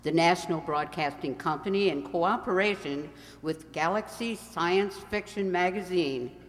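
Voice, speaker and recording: A man's voice: high-pitched, a little slow, fairly bright tone. A man playing a portentous, formal announcer of an old-time science fiction radio series. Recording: reverberant hall.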